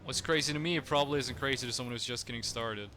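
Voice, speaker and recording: A man's voice: medium pitch, fast talker, sharp tone, soft background music. A young man from Norway with a Norwegian accent, chatting casually with viewers while he plays a strategy game, rambling with lots of fillers. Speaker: streamer.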